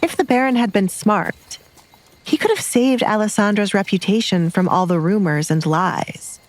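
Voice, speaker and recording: A woman's voice: medium pitch, normal speed, poor audio. A woman narrator reading scripted romance fiction as a storyteller.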